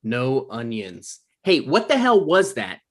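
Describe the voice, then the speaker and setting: Male actor as self-important office striver, defensive, argumentative, dry, medium-pitched, comedy table read. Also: wildly swooping pitch, slow, full character voice. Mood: peeved.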